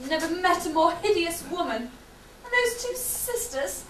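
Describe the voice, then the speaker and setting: A woman's voice: extremely high pitch, sing-song, unhurried, fairly bright tone, full character voice. A woman playing a put-upon, kind young heroine, earnest and plaintive, in amateur pantomime style. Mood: sympathetic. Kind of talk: amateur pantomime stage acting. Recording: echoey, noisy hall from far off.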